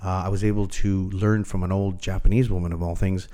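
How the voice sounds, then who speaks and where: A man's voice: low-pitched, level tone, brisk, dark tone. A middle-aged man, a chef talking casually and candidly as an interview guest, musing and opinionated. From Canada. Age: middle-aged or older. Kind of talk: casual interview chat, anecdotal.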